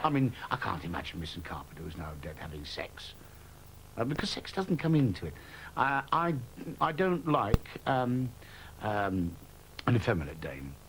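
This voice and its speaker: A man's voice: on the low side, sing-song, normal speed, a bit bright, slight background noise, poor audio. A man, a pantomime dame performer, frankly reminiscing and chuckling in an interview. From England.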